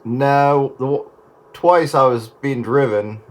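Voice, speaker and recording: A man's voice: mid-range voice, takes it slowly, very noisy. A man chatting casually with fellow hobbyists over two-way radio.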